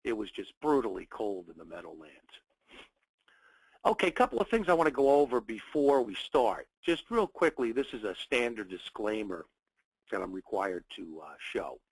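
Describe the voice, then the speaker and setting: A man's voice: fairly low pitch, very animated, moderate pace, vocal fry, slightly rough, no echo, poor audio. A man with a cold, sounding a little nasal, hosting a live trading webinar and welcoming viewers in short phrases with plenty of fillers. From United States. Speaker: webinar host, trading educator.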